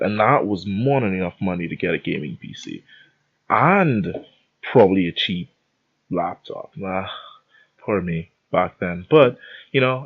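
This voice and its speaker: A man's voice: deep voice, husky, dull audio. A young man from the Bahamas hosting a podcast, telling a rambling, self-interrupting story in a casual rant. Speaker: podcast host.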